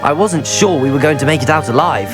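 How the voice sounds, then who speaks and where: A woman's voice: deep voice, lively intonation, fast talker. A young woman acting a brave, caring teenage student wizard hero in a video game. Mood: relieved, curious. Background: music.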